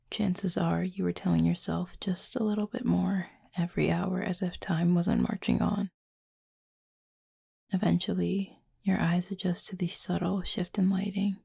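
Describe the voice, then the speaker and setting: Casting: actress for a reflective, guarded female cupid in intimate roleplay audio. Mellow, very breathy and husky, gently formal, close and dry. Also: lowish voice, no room sound, heavily acted. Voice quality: soft, breathy, husky with creak. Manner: thoughtful, measured, gently formal.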